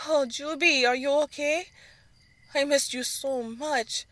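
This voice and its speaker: A woman's voice: sits high, steady tone, takes it slowly, a bit bright, no room sound, pitched above the performer's own voice. A woman voicing a protective, serious older sister, a young dancer, in an audio drama story. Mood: tender.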